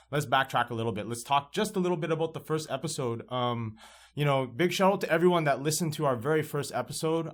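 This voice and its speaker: A man in his thirties hosts a relaxed podcast conversation. He talks in an upbeat, rambling way, often restarting his sentences. He is recording in a library studio. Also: mid-range voice. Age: 30s. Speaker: podcast host. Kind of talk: casual podcast chat.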